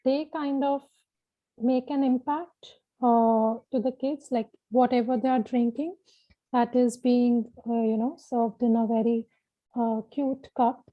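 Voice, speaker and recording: A woman taking part in a workshop, answering a question in a halting, casual, reflective way. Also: a little high, steady tone, dry recording. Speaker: workshop participant. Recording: tinny, dry phone-like line.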